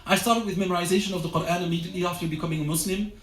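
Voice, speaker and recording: Man, high pitch, earnest religious teacher, roomy echo; a lecture circle talk. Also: steady tone, very fast, a bit bright, a little hiss or hum. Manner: earnest, exhortative, with frequent restarts. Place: lecture room.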